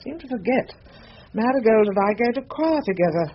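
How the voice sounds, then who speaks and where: An actress playing a brisk, nagging older English wife in a British drama, with a matter-of-fact manner. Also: female voice, fairly high pitch, animated. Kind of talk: British radio drama acting. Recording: dull lo-fi drama recording, light hiss.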